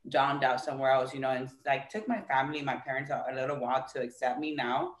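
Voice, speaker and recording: Woman guest, mid pitch, lively casual storyteller, echoey room. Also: fast. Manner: animated, acts out other people's quotes, frequent "like" and "you know". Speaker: podcast guest. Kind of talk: casual interview chat.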